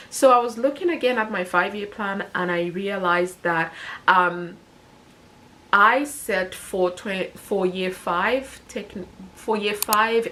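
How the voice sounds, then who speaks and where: A woman's voice: medium pitch, fairly bright tone, slight background noise. Chatty woman in her thirties, self-correcting. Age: 30s. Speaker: personal finance YouTuber. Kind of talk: casual talk to camera.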